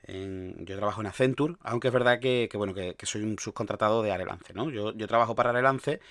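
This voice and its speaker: A man from Andalusia with a softened Andalusian accent, hosting a solo podcast in a rambling, restarting way. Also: fairly low pitch, quick pace, dry recording, studio quality. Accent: Andalusian Spanish, softened. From Andalusia, Spain. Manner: rambling, with frequent restarts and fillers. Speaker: podcast host.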